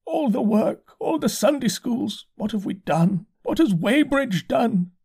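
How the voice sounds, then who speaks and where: A man voicing a distraught, half-mad male curate who talks in a slow, complaining, pleading way. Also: very high voice, expressive, creaky, darkish tone, slightly rough, voice pushed up, exaggerated voice. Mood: frantic. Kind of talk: audiobook character voice.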